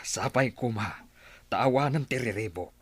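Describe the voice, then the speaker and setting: An actor with a Filipino accent playing a commanding, righteous warrior chief of a tribe in a radio drama, with a husky voice. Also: male voice, medium pitch, measured pace, no room sound. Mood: solemn, relieved.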